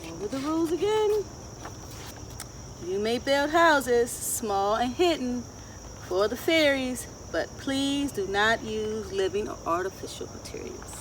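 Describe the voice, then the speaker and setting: A middle-aged woman reading a picture book aloud to children, expressive and deliberate, often putting questions to her listeners. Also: fairly high pitch. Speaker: children's storyteller. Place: outdoors in a wooded garden. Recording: outdoor, distant mic, ambient insect noise. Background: insects and outdoor ambience.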